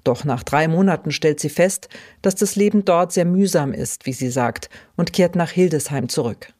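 A woman hosting a podcast, reading scripted narration in a clear, even way. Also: low-pitched, normal speed. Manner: clear, even read-aloud delivery. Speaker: podcast host.

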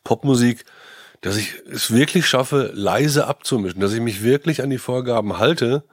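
A man's voice: mid-range voice, moderate pace, husky, dry recording, clean studio sound. A middle-aged man chatting casually as a podcast co-host and audio critic. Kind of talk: casual chat.

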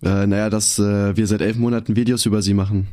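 Young male podcast co-host, hoarse, lowish voice, relaxed slangy delivery. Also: fast talker, dry recording, studio quality. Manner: laid-back, slangy, many fillers. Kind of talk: casual chat.

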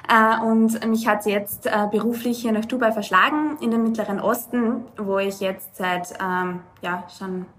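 A young woman from Lower Austria with an Austrian accent, telling her story as a podcast guest over a video call, with many hesitations. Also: fairly even intonation, a little slow, few pauses, fairly bright tone, a little hiss or hum. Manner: hesitant, many filler sounds. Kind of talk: conversational storytelling.

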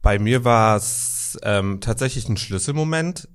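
Lowish voice, guy about 30, kinda hesitant, thinks out loud. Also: animated, a little slow, clean tone. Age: 30s. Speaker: interview guest. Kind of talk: conversational interview. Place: in a shop, indoors.

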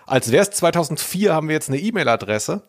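A man's voice: a little high, sing-song, brisk. A man hosting a podcast in casual, joking chat, with an irreverent, slangy way of talking. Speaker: podcast host.

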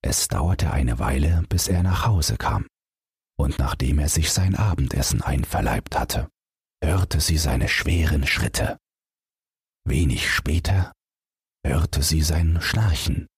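A man with a husky, gravelly voice reading a bedtime fairy tale as an audiobook narrator in a measured storytelling way. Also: a bass voice, animated, no echo, studio quality.

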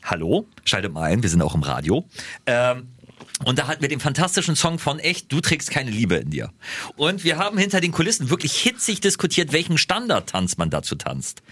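A male radio host chatting excitedly, often stumbling and restarting his sentences. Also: mid-range voice, fairly quick, husky, no room sound. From northern Germany. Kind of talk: casual chat. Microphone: handheld mic, seated.

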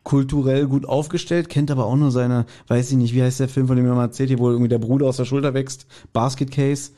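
A man's voice: medium pitch, little pitch movement, fast talker, warm tone. A man co-hosting a podcast, chatting casually and with opinions about an audio drama. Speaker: podcast host. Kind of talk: casual chat reviewing an audio drama, reading aloud.

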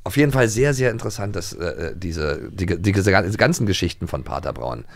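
A man's voice: low-pitched, expressive, normal speed, dry recording. A man co-hosting a podcast in casual, playful banter, often teasing his partner. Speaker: podcast co-host.

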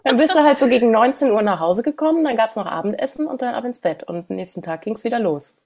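A woman's voice: fairly high pitch, expressive, fast, dark tone. A woman in her forties from West Berlin, chatting casually as a podcast co-host and readily chiming in. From West Berlin, Germany. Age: 40s. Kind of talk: casual chat.

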